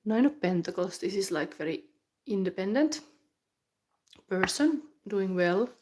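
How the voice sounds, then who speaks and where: A woman's voice: medium pitch, animated, measured pace, a little mellow, a little hiss or hum. Finnish woman, rambling tarot reading. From Finland. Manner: rambling, thinking aloud with many fillers and restarts; drops into whispers while channeling. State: has the flu. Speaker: tarot reader.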